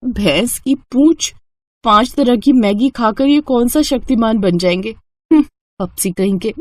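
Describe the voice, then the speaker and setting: A woman voicing a young daughter-in-law, polite but exasperated underneath, in an animated family story. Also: on the high side, measured pace, no echo, clean studio sound, close to an everyday voice. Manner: polite aloud, grumbling inwardly.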